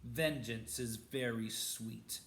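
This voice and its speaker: A man's voice: medium pitch, a little slow, natural voice. A man doing a silky, sneering wizard professor. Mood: menacing. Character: cold, spiteful male wizard professor. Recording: roomy echo.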